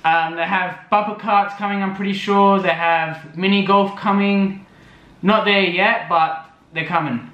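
A man's voice: sits high, steady tone, unhurried, reverberant, lo-fi sound. A young man narrating a casual vlog voice-over, rambling with lots of fillers. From Australia. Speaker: vlogger.

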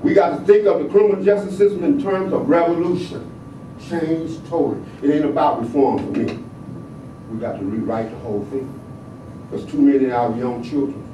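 An older man from the American South speaking at a podium microphone, swinging between quiet reflection and loud, emphatic exhortation. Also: a little high, a little fast, recorded from a distance, noisy background. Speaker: panel speaker. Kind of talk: impassioned activist speech. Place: large hall in a state capitol. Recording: echoey hall, heard from afar.

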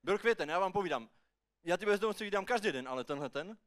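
A man's voice: high-pitched, expressive, fairly bright tone. Male slam poet, clean resonant voice, rhythmic theatrical storyteller delivering rhymed verse. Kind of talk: rhymed slam poetry performance. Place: live slam poetry final on stage.

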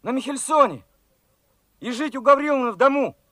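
High-voiced, eager young lad. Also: male voice, animated, brisk, bright, forceful tone, dry recording, clean studio sound, heavily acted. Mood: disappointed, challenging. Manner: earnest, plain-spoken, questioning. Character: eager, naive twenty-year-old revolutionary working lad, dreaming of glory. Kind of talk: Soviet radio drama acting.

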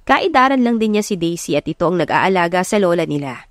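A woman's voice: on the low side, big pitch swings, fast talker, dry recording, clean studio sound. A woman with a Filipino accent reading scripted, dramatic story narration as an audiobook narrator. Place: close, dry recording with music behind.

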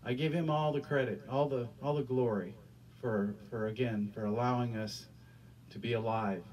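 A man's voice: medium pitch, steady tone, moderate pace, a little mellow, far from the mic, poor audio. A middle-aged man preaching and praying as pastor in a small church, earnest, often repeating his words, speaking into a handheld microphone. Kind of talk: sermon and prayer. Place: small church stage with curtains.

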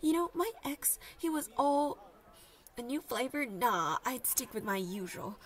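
A woman's voice: a little high, expressive, unhurried. A young woman voice acting a lovestruck, chatty student gushing dramatically to friends in an audio roleplay. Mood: indignant. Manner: gushing, dramatic, babbly, sighing. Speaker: voice actor. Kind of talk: audio roleplay, one-sided conversation with friends.

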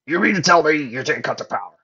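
A woman's voice: deep voice, lively intonation, quick pace, pushed and bright, breathy edge, muffled recording, voice pushed up, heavily acted. A woman playing a suspicious, demanding older man, a grim great-uncle in a story. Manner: tense, demanding, muttering.

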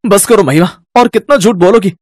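A man's voice: sits high, brisk, a little mellow, a little hiss or hum, pitched above the performer's own voice, close to an everyday voice. A man voicing a betrayed young son in a cartoon, indignant and declamatory. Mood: outraged. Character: betrayed, outraged young adult son confronting his wife.

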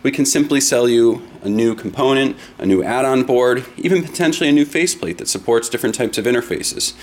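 A man's voice: mid-range voice, a little fast, slight background noise. A man giving a semi-scripted product demonstration in an explanatory, step-by-step manner.

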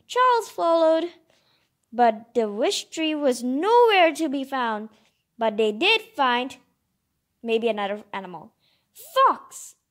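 A woman reading a picture book aloud expressively, adding informal, enthusiastic asides of her own. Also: sits high. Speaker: storybook reader and commentator. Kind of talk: read-aloud storytelling with chatty asides.

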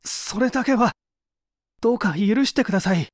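A man's voice: sits high, expressive, moderate pace, no echo, clean studio sound. A man playing an evasive, subdued painter who is under interrogation, hesitant and confessional, in a dramatised mystery reading. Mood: confused, pleading.

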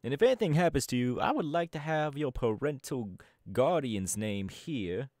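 A man's voice: medium pitch, animated, moderate pace, a little mellow, dry recording, studio quality, lightly acted. A man voice acting a laid-back young male DJ gang boss in an ASMR audio roleplay, talking in an easygoing, chatty drawl. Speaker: voice actor.

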